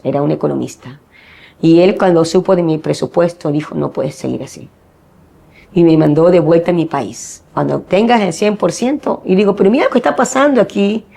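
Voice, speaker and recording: A woman's voice: deep voice, gravelly, dark tone. A middle-aged woman from Ecuador, a missionary guest giving earnest personal testimony and acting out the dialogue she quotes.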